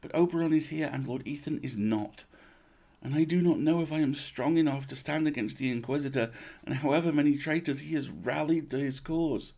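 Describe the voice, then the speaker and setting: A man voicing a weary, regretful old duchess who speaks in formal, measured phrases for a storybook reading. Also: on the high side, gravelly, mellow, voice pushed up.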